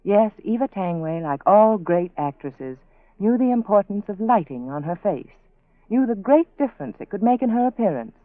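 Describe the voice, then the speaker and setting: A narrow, phone-like old radio recording of an American spokeswoman. She delivers scripted advertising copy in a measured, persuasive way, with animated swings of pitch and a dry, close sound. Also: dry recording. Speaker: sponsor's spokeswoman.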